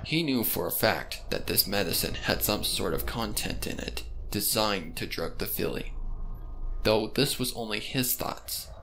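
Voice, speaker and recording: A man's voice: lowish voice, lively intonation, a little slow, gravelly, a bit bright, a little hiss or hum, low-quality recording. A man reading scripted, dramatic narration for a fan fiction story.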